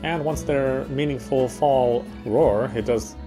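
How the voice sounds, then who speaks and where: Male reader, mid pitch, dramatic game narration, occasional stumbles, clear music bed behind. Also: takes it slowly. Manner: stumbles over words while reading. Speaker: let's player reading a game aloud. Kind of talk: dramatic reading of visual novel narration. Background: loud game music.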